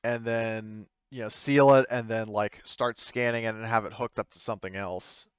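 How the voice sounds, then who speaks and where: A man's voice: fairly low pitch, fairly even intonation, normal speed, clear, resonant tone, dry recording, muffled recording. A man working as game master, improvising narration for a tabletop roleplaying game and rephrasing as he explains, tentatively.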